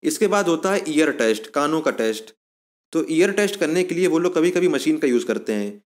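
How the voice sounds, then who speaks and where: A man's voice: a little high, animated, brisk. A man giving an informal explanatory lesson as an exam coaching presenter, going step by step and repeating himself to recap.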